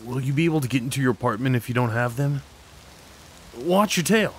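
A man acting a kind, slightly awkward neighbor in an audio roleplay, talking in a gently concerned, hesitant, conversational way. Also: medium pitch, lively intonation, brisk, a little hiss or hum, natural voice. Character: kind, awkward, caring male neighbor comforting someone. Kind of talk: ASMR roleplay, soft one-sided dialogue acting.

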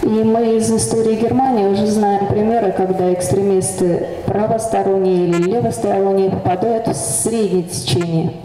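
A woman giving brisk consecutive interpretation at a conference. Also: mid-range voice, fairly even intonation. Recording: echoey conference hall, noisy.

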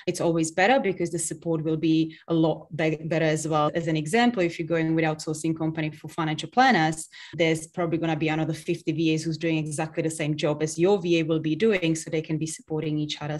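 A woman in her thirties from the Czech Republic, speaking with a Czech accent as a business guest on a video call, earnest and explanatory. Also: deep voice. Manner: earnest, explains with hand gestures. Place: home office, virtual background. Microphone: webcam on video call.

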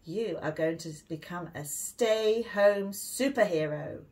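Gentle, encouraging storybook mum, read by a woman. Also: lowish voice, slow pace. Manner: soothing and encouraging. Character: gentle, reassuring mother of a young boy.

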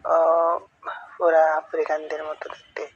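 A young woman with a Bangladeshi accent, hoarse from a cold, chatting casually and questioningly on a phone call. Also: low voice, completely flat delivery, measured pace, dry recording. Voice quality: hoarse, broken voice. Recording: noisy phone call recording.

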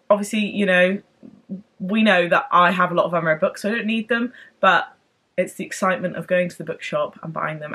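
A young woman chatting to camera in a casual book vlog, bubbly and excitable, rambling from one thought to the next. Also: fairly low pitch. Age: young adult. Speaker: book vlogger. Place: home room with bookshelves, later parked car.

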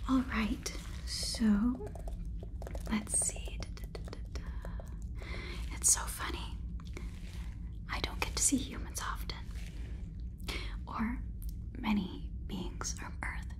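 Casting: woman, 20s, slow breathy whisper, playing a polite, faintly condescending robot overlord for close-mic ASMR. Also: a little high, steady tone. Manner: soft, halting, deliberate whisper. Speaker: ASMR performer. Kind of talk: whispered ASMR role-play. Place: small room indoors, close up. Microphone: close sensitive microphone.

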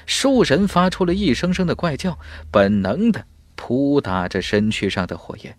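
A man's voice: medium pitch, sing-song, normal speed, no echo, faint music behind. A man narrating a fantasy novel as an audiobook in an emphatic, dramatic storytelling manner.

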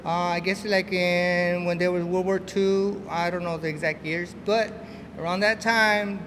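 High-voiced man in a reverberant, noisy space. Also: fairly even intonation, measured pace, fairly bright tone. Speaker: school plant supervisor giving a tour. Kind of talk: casual explanatory chat on a walking tour. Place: school stairwell and basement electrical room. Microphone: handheld interview microphone. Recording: echoey concrete basement, noisy handheld mic.

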